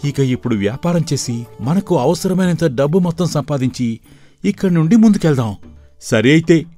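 A man voicing an earnest, excitable young village brother in an animated moral story, with emphatic delivery. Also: fairly high pitch, moderate pace. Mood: eager, earnest. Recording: voice over background music.

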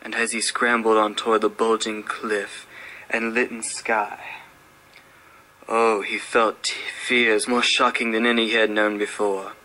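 A man's voice: lowish voice, flat delivery, a little slow, sharp tone, poor audio. A man giving a solemn, theatrical dramatic reading of a horror story as narrator. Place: radio station room. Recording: faint background noise at radio station.

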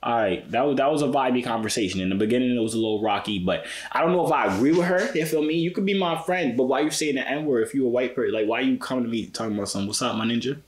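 A man's voice: mid-range voice, rapid-fire, hardly any pauses. A man in his twenties with an American accent chatting casually in slang, playful and teasing. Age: 20s. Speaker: vlogger chatting with strangers online.

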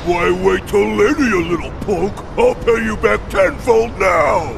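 Male for hulking, dim game henchman bird: gruff, taunting, pitched fairly high, music underneath. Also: a little slow, heavily acted. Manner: bullying, taunting. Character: big brutish dim-witted male cartoon albatross henchman. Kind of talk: video game cutscene acting.

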